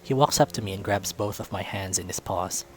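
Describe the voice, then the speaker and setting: Low-voiced man narrating a story. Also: fairly quick, rough recording. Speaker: visual novel narrator, let's-play reader. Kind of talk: storytelling narration read aloud.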